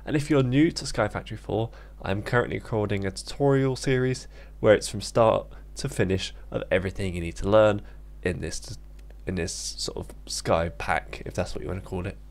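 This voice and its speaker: A man's voice: fairly low pitch, normal speed. A man narrating a casual gaming tutorial as its host, instructing informally step by step. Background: background music.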